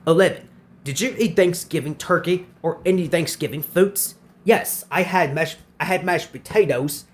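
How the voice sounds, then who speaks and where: A man's voice: on the high side, moderate pace. A man in his twenties hosting a casual question-and-answer vlog, who often repeats himself and restarts his phrases. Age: 20s. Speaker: channel host answering viewer questions.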